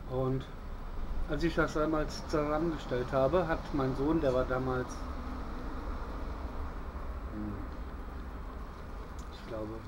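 Slow, halting man showing his gear. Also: mid-range voice, background noise. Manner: relaxed, hesitant, many fillers. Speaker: bushcraft video host. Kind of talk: casual show-and-tell. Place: outdoors on a patio by a wall.

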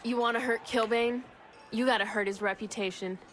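An actress playing a shrewd, cool gang strategist in a video game, dry and direct. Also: female voice, a little high, steady tone, a little fast, a bit bright, faint music behind, close to an everyday voice. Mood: cool, scheming.

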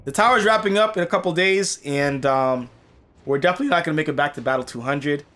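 A young man commentating on a mobile fighting game, excitable and losing his voice, seated at a home gaming setup. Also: fairly high pitch, fast talker. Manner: excitable, swings from muttering to yelling at the game. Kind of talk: casual gameplay commentary.

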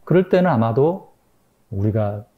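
A man in his forties giving a public lecture from a lectern, thoughtful and hesitant with many fillers and pauses. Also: lowish voice, animated, phone-quality sound. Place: gallery lecture room with projector. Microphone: microphone stand at lectern.

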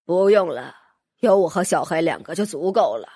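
A woman voicing a calm old gentleman mentor, a dragon in human guise, measured and reassuring, in an audiobook. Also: on the low side, slightly rough, no echo, clean studio sound, voice pushed down. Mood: calm, assured. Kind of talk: audiobook character voice.

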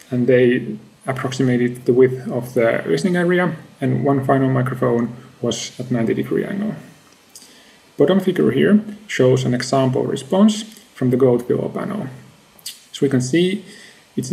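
A man in his thirties with a creaky voice gives a measured, matter-of-fact research presentation in halting phrases. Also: mid-range voice, warm tone, rough recording. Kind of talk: scripted academic slide presentation.

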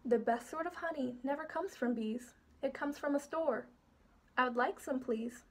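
A woman's voice: high voice, normal speed. A woman voicing a proud, stubborn father bear who boasts in sing-song rhymes from a storybook. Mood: smug.

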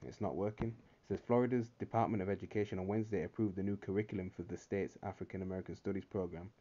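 A man's voice: low-pitched, steady tone, fast, mellow, lo-fi sound, a little hiss or hum. A man giving preachy religious commentary over a screen recording, checking in with "all right?" over and over. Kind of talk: screen-recorded commentary reading articles and scripture.

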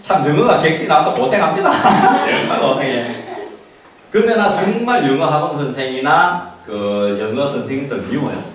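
A man's voice: on the high side, lively intonation, moderate pace. A middle-aged man giving an informal, emphatic lecture to parents, often asking rhetorical questions. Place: small classroom. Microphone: handheld mic, recorded by a camera at a distance. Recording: echoey room, distant mic, background noise.

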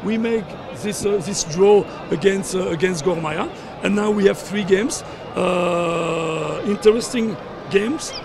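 Older male coach, high voice, halting speech full of fillers, outdoor noise behind; press scrum bark. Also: vocal fry. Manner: halting, many fillers and repeats, non-native phrasing. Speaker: football coach. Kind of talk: post-match press interview. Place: outdoors at a sponsor backdrop, surrounded by reporters. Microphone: cluster of handheld reporter mics and phones.

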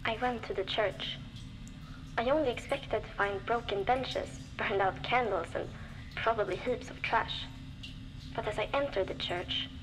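An actress playing a young woman survivor, reflective and determined, recording a measured audio diary for a video game. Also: fairly high pitch, close to an everyday voice, rough recording. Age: young adult.

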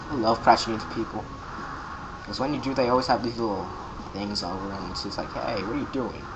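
A man's voice: fairly low pitch, fairly even intonation, fairly quick, long pauses, background noise. A man playing a video game and commenting casually, joking and reading the game's dialogue aloud in playful voices. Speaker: let's play gamer. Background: loud video game music.

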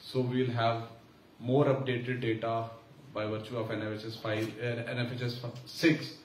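A man with an Indian English accent giving a formal, measured speech at a conference, pausing with fillers. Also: medium pitch, level tone. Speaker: senior official giving keynote. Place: large conference hall. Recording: echoey hall from far off, faint hiss.

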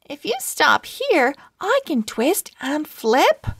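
A woman's voice: high-pitched, very animated, slow, fairly bright tone, no echo, studio quality, pitched above the performer's own voice, exaggerated voice. Woman voicing a haughty, sassy storybook cat. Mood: showy, haughty. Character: sassy, proud female cat show-off in a children's storybook.

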